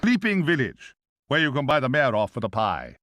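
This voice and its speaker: Casting: male actor for comic spooky game narrator, theatrical, mid-pitched, extremely animated. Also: normal speed, background noise, close to an everyday voice. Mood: announcing. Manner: ominous, comically deflating. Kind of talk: video game cutscene narration.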